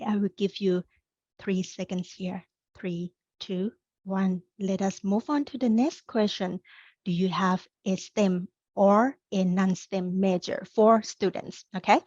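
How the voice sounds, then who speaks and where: A woman's voice: medium pitch, darkish tone, dry recording, dull audio. A middle-aged woman from Thailand, a career coach presenting a webinar, speaking in an upbeat, encouraging way straight to her audience.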